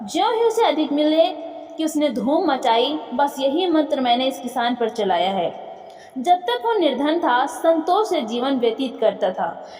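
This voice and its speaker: A woman's voice: sits high, very animated, a little slow, darkish tone. A woman voicing a sly, boastful male ghost servant in a storybook tale, deferential as he explains. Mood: explaining, proud. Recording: echoey room with long reverb.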